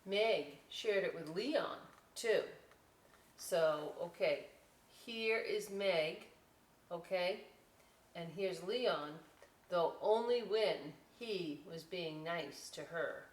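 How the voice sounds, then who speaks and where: A woman's voice: fairly low pitch, very slow. A woman hosting a children's story time, reading a picture book aloud and chatting through the pictures in a conversational way. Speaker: librarian story time host. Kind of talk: picture-book read-aloud with casual asides. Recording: roomy, distant mic with clear noise.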